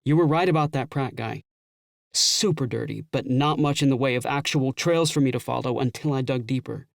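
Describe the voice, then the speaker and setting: A man acts out a youthful male police detective in a full-cast audiobook. His voice has a gravelly creak, and he talks in keen, informal, slangy fashion. The sound is close and dry. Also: medium pitch, brisk, no room sound, lightly acted. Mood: keen, frustrated. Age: young adult. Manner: slangy, casual, eager.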